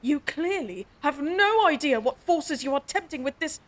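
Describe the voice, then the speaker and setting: A woman's voice: sits high, expressive, moderate pace. A woman playing a stern, protective female guardian who scolds and talks down to her charge in an audio drama. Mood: scolding. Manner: scolding, indignant, clipped. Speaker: princess's guardian.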